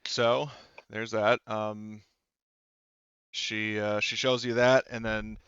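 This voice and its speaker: A man's voice: lowish voice, flat delivery, slow pace, fairly bright tone, smooth, no echo, muffled recording. A man running a tabletop game as game master, explaining things casually in a hesitant, rambling way.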